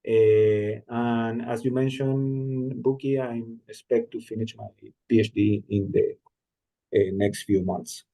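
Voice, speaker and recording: A man from Chile with a Chilean Spanish accent, introducing himself carefully on a video call, with halting restarts. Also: mid-range voice, fairly even intonation, warm tone, poor audio. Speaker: panelist, IP practitioner. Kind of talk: webinar self-introduction.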